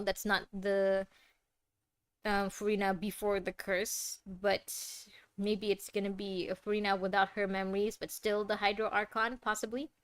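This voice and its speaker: A young woman giving casual, excitable live commentary as a streamer, often exclaiming. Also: mid-range voice, clean tone. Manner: expressive, exclaiming. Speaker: streamer reacting to game trailers. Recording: loud game music behind. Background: game trailer music.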